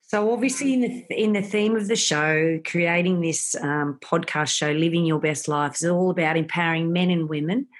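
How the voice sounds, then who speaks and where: Podcast host lady, low voice, rambly questions with lots of ums. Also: moderate pace, hardly any pauses. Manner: affirming, rambling questions with fillers. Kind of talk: casual interview chat.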